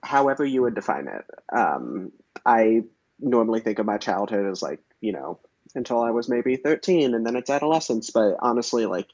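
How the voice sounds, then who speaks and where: Husky-voiced friendly male interviewer on a call. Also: medium pitch, very animated, normal speed, a little mellow, no room sound. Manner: friendly, hesitant, many fillers. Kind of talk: casual oral history interview. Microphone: video call. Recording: dull, dry lo-fi video call audio.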